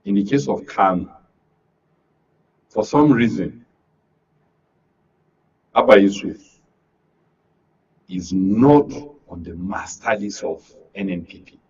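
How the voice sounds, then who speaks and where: A man with a Nigerian accent giving his view as a guest on a political panel, speaking in a hesitant, halting way. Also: on the low side, a little mellow, breathy edge. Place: radio and TV studio. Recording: distant mic, muffled, noisy background.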